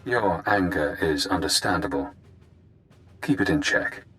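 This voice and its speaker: An actor playing a coldly persuasive intelligence schemer in a video game, speaking in a measured, clinical, controlled way. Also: male voice, low voice, expressive, a bit bright, soft background music. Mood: smug, persuasive. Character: calculating, coldly persuasive male intelligence schemer, a genetically bred prisoner.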